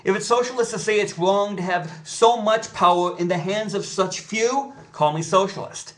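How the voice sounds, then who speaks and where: Male, American, somewhat higher voice; earnest, pleading vlogger delivering a heartfelt appeal with halting pauses. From United States. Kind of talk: impassioned personal appeal to camera.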